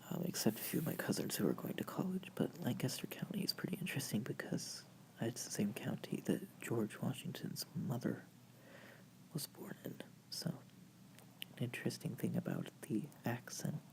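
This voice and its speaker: A narrator from the United States whispering softly and breathily through an informal, rambling slideshow in ASMR style. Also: mid-range voice, lively intonation, moderate pace, creaky, poor audio. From United States, family from Virginia. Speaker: video creator and host.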